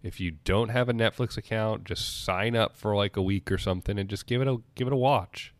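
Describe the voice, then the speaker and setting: A man's voice: lowish voice, a little fast, dry recording. A man in his twenties co-hosting a podcast, reviewing a film in earnest, rambling casual chat with many restarts. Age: 20s. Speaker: podcast co-host.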